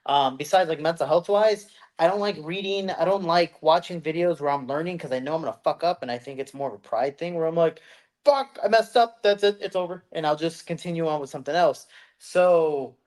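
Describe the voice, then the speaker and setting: A man from the United States co-hosting a podcast, telling stories in a rambling, animated way and slipping into put-on voices. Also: on the high side. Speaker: podcast co-host. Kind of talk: casual chat.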